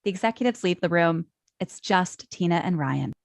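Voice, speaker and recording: A woman's voice: lowish voice, expressive, normal speed, creaky, no room sound, studio quality. A woman narrating script stage directions in a matter-of-fact way.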